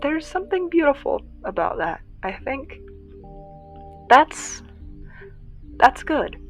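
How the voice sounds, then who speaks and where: A woman playing an earnest young survivor who hosts a radio show in an audio drama, confiding reflectively in listeners with halting pauses. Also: sits high, very animated, faint music behind, heavily acted. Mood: tearful gratitude. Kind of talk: first-person audio drama monologue, podcast-style confiding.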